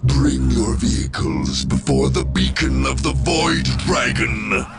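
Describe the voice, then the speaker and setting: An actor playing an ancient, malevolent undead machine lord in a video game, declaiming in a grandiose, contemptuous and ominous way. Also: male voice, fairly low pitch, huge pitch swings, over loud music, exaggerated voice. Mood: menacing, scornful. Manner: slow, ominous, portentous declaiming. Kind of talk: theatrical video game villain acting.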